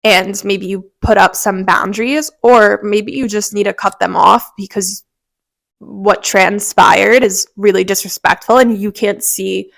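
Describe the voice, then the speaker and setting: A woman's voice: medium pitch, steady tone, unhurried, creaky, no room sound. A woman hosting a motivational podcast, talking earnestly and encouragingly straight to her listeners, a little congested. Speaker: podcast host.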